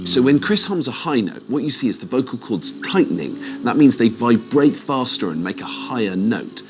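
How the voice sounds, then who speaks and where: A man presenting as a doctor in an educational video, explaining clearly. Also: medium pitch, sing-song, moderate pace, darkish tone, slight background noise. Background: music.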